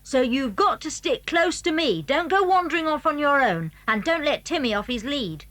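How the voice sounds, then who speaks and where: A woman's voice: high voice, animated, normal speed, fairly bright tone, dry recording. A woman playing an eager, confident English schoolboy in a children's audio drama. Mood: knowing, instructive.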